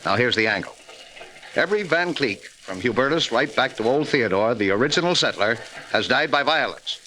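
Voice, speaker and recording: An actor playing a brusque, no-nonsense newspaper editor in a 1940s radio drama, brisk and assertive. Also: male voice, medium pitch, lively intonation, bright, forceful tone. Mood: businesslike, intent. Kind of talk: 1940s radio mystery acting. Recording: hissy, crackly old radio recording.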